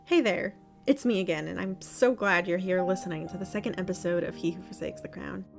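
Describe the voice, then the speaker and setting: A woman's voice: fairly low pitch, brisk, creaky. A woman speaking informally as a podcast host, chatty, with self-deprecating asides. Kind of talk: informal thank-you announcement to listeners. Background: guitar music.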